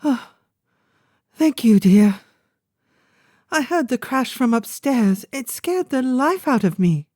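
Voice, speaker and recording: A woman's voice: on the high side, lively intonation, takes it slowly, pauses often, warm tone, dry recording, studio quality. An actress playing an elderly, fretful mother who is fussy and easily flustered. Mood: startled. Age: older. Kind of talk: audio drama acting.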